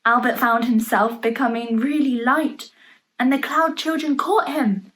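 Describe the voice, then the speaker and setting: A woman reading a picture book aloud for young children, playfully expressive, adding chatty asides and questions about the pictures, in a noisy home recording. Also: sits high, unhurried. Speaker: storybook reader for young children. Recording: noticeable background noise, home online recording.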